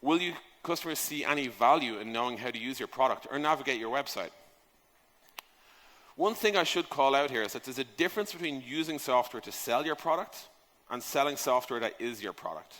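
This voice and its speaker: A man's voice: mid-range voice, lively intonation, brisk, a bit bright. A man giving an informal conference talk as a speaker, conversational and emphatic in delivery. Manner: emphatic, conversational, rhetorical questions, casual swearing. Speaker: conference speaker on software product design. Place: large conference hall, on stage. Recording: echoey hall, long reverb.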